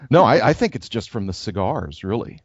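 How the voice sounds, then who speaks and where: A man co-hosting a casual podcast chat as a pastor, soft-spoken and halting, with many fillers and restarts. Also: on the low side, big pitch swings, husky, no room sound. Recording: dry, dull lo-fi sound.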